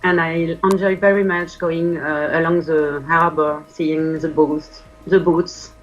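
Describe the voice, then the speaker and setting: A woman with a French accent answering interview questions slowly and gently. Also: deep voice, flat delivery, lo-fi sound, soft background music. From France. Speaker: committee member.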